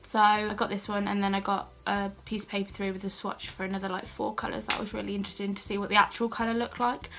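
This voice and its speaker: A young British woman with a cold and a slightly croaky voice, chatting casually and self-deprecatingly as she shows a shopping haul on her channel. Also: medium pitch, level tone, fast, hardly any pauses, a bit bright. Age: young adult. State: has a cold, a bit croaky. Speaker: beauty and haul vlogger. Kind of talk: casual haul vlog chat.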